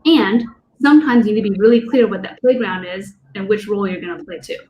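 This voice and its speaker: A woman's voice: medium pitch, quick pace, mellow. A woman coach who teaches dance, talking loosely with lots of fillers and restarts on a video call panel. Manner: rambling, many fillers and restarts. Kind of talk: casual panel discussion.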